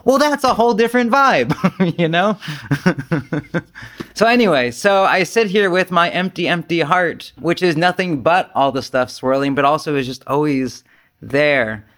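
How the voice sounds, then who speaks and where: A man giving an informal, rambling Zen talk as a retreat teacher, conversational, animated and self-deprecating. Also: on the high side, a little slow.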